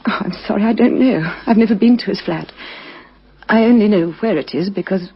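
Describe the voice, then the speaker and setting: Radio drama widow: grief-stricken, hesitant, husky and breathy, muffled lo-fi sound. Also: female voice, medium pitch, a little fast. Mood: hesitant, subdued. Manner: hesitant, faltering, hushed at times. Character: grieving recent widow, shaken and halting, adult. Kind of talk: radio crime drama acting. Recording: dull lo-fi vintage radio recording.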